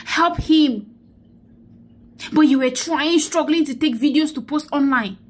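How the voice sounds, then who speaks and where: A young woman with a high voice records herself on a phone held close, indoors. She mourns and exhorts by turns, saying phrases again and again as if she were preaching a sermon. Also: a little slow. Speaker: vlogger. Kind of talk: emotional selfie vlog, preachy. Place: indoors, small room. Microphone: phone held close to face.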